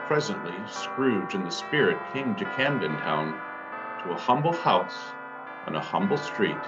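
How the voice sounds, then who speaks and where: A man's voice: on the low side, expressive, unhurried, dull audio, over music. A man narrating a scripted story in a dramatic storytelling style.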